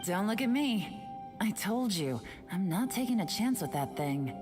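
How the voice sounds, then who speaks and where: A woman's voice: mid-range voice, very animated, a little slow, over music. A woman playing a cool, self-assured skeptic in a video game, dry and blunt in manner. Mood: skeptical, dismissive.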